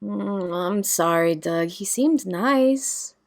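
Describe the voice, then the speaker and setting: A woman's voice: mid-range voice, lively intonation, takes it slowly. A woman playing a brash, sarcastic, carefree young party lover in an audio drama, with casual, salty, sardonic delivery. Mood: sympathetic.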